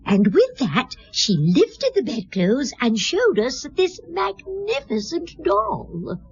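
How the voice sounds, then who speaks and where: A kindly, eager neighbour lady in a radio play. Her voice is high, very husky and swoops around at a slow pace. She is polite and gushing, on a slightly muffled recording. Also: huge pitch swings, full character voice. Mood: earnest. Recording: dull lo-fi radio play audio.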